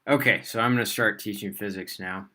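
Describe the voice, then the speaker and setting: A man's voice: lowish voice, fairly even intonation, fairly quick, sharp tone. A man teaching physics on a casual livestream, chatting with fillers and laughing at himself as he tries out beginner Spanish. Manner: chatty, rambling, with frequent fillers.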